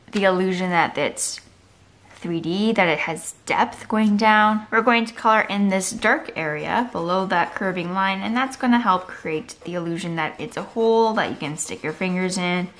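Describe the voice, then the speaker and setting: Slow-paced woman teaching drawing. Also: lowish voice, pushed and bright. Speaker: art teacher. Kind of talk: step-by-step drawing tutorial for kids.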